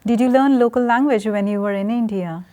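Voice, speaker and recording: A woman interviewing a monk, asking questions and murmuring softly in agreement. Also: a little high, brisk. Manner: soft frequent encouraging murmurs.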